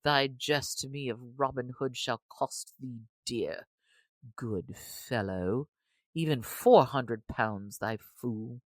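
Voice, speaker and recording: Woman voicing a wary, greedy middle-aged male sheriff for a storybook reading; low, dry, grumbling. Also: slow pace, no echo, full character voice. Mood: scheming. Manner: suspicious, grumbling, archaic speech. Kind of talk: audiobook character reading.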